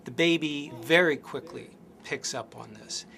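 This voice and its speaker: A male researcher giving a measured documentary explanation of infant studies. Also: fairly high pitch, gravelly, bright, forceful tone. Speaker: developmental researcher. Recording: clip with crowd noise behind.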